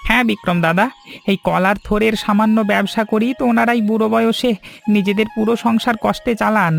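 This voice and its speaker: A man doing a high, creaky, earnest young villager. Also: steady tone, a little fast, fairly bright tone. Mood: eager, remorseful. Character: remorseful, eager young villager, reformed thief. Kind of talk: Bengali cartoon story voice acting. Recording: music behind the voice. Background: music.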